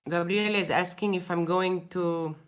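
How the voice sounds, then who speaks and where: A young woman with a Hungarian accent, speaking English as a second language, chatting casually with viewers in a livestream Q&A, hesitant, with many restarts and soft laughs. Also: fairly low pitch, steady tone, normal speed, clear, resonant tone. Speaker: artist answering viewer questions.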